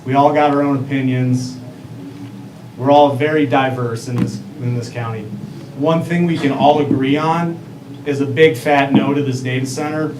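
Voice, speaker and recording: A mail carrier speaking firmly and bluntly at a city meeting in short, punchy sentences. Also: male voice, medium pitch, fairly even intonation, normal speed. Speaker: public commenter, mail carrier. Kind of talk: public comment at a city meeting. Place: council meeting room. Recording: echoey hall, distant mic, noisy.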